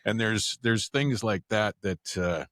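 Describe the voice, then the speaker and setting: Deep-voiced man hosting a podcast. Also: a bit bright, no room sound, studio quality. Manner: halting, searching for words. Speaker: podcast host. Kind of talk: casual interview chat.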